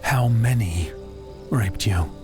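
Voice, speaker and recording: A man plays a protective young guy with simmering anger in an audio drama. His voice sits low and he speaks slowly, in short, blunt, insistent phrases, with music underneath.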